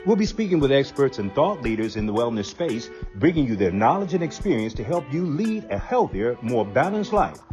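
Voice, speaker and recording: A man hosting a wellness podcast, enthusiastic and animated in conversation, laughing often. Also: mid-range voice. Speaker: podcast host. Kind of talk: podcast interview.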